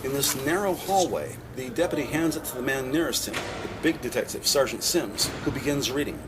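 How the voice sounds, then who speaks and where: A man's voice: mid-range voice, lively intonation, a little fast, background noise. A man narrating a scripted audio drama in the present tense.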